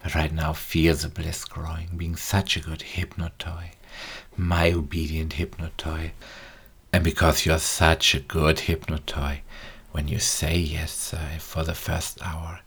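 A man speaking slowly and softly as a hypnotist, repeating phrases and drifting into whispers. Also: a bass voice, monotone, gravelly, breathy edge. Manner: slow, repetitive, soft-spoken, drifting into whispers. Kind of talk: guided hypnosis recording.

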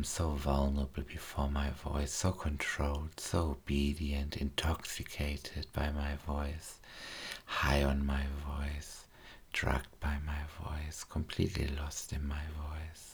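Man, hypnotist, trance recording, soft half-whispered delivery, flat low drone. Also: creaky, slightly rough. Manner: slow, soft-spoken, drifts into whispers; repetitive, coaxing.

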